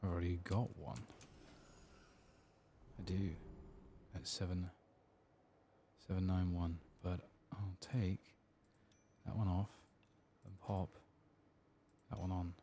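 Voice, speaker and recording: ASMR gaming host, male: deep, creaky, soft muttered commentary, close mic, noticeable ambient noise. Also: level tone, slow pace, pauses often. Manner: soft-spoken, murmuring, muttering to himself. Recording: clear background noise, game ambience, clicking. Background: game ambience and clicking.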